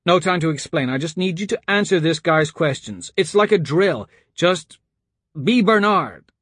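A man voicing an anxious young man in charge, pleading and pressing out hurried instructions as in an audiobook. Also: fairly high pitch, lively intonation, fairly bright tone, slightly rough, dry recording, clean studio sound, voice pushed up, heavily acted. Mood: urgent, pleading. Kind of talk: audiobook character dialogue.

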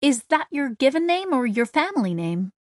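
A woman's voice: high-pitched, very animated, moderate pace, dry recording, clean studio sound, voice pushed up. Woman playing a polite, reserved young female newcomer. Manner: polite, tentative.

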